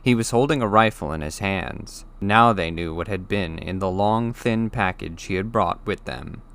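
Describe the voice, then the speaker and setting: A man reading a children's novel aloud as an audiobook, in expressive scripted narration. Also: sits low, normal speed, smooth. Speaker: audiobook reader.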